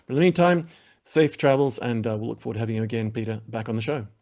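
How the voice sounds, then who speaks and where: Guy hosting a web show, talks super quick, upbeat plugs, lots of uhs. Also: medium pitch, darkish tone. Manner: upbeat, promotional, rambling with fillers. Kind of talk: interview wrap-up with audience plugs.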